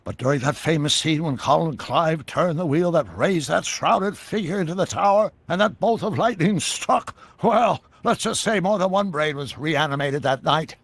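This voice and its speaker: An actor playing an eccentric older American scientist in a video game, explaining things in a wordy, theatrical way with a gravelly voice. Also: male voice, fairly high pitch, animated, normal speed, few pauses, a bit bright, no room sound, full character voice. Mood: earnest, dramatic. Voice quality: gravelly, husky. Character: eccentric older male scientist inventor, excitable and secretive. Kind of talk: scripted video game dialogue.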